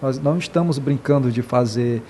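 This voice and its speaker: An earnest man from Brazil speaks in an interview at a mid pitch. He talks with passion, often stops to restart, and keeps adding tag questions. A faint noise runs under the recording. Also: a little fast, dark tone. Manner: impassioned, many hesitations and repeated "né".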